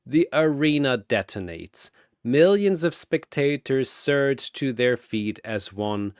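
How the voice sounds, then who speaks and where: A man's voice: medium pitch, expressive, slow, smooth, no echo, studio quality. A man delivering dramatic scripted battle narration like an arena announcer, theatrical and grandiose.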